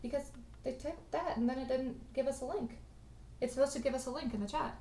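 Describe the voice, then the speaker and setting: A woman's voice: high voice, fairly quick, dark tone, a little hiss or hum. A woman chatting casually on a livestream, giggly and teasing with her friends. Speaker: cast or crew member hosting a livestream party. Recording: roomy, echoey call audio.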